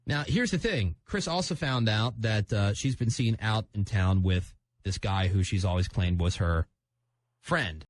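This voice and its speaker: A man's voice: fairly low pitch, unhurried, no echo. A man hosting a conversational live radio show, talking informally to listeners and a caller.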